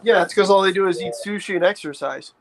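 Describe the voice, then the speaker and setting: A man's voice: sits high, quick pace, noisy background. A man in his thirties talking casually as a co-host. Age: 30s. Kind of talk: casual chat.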